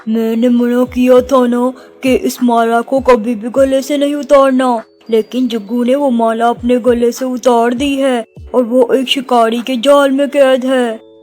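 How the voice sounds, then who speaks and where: A woman's voice: on the high side, steady tone, unhurried, a little mellow, soft background music, natural voice. A woman voicing a wise elder pigeon in a cartoon moral story, giving counsel in an admonishing, assured manner. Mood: grave, assured. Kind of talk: animated moral story dialogue.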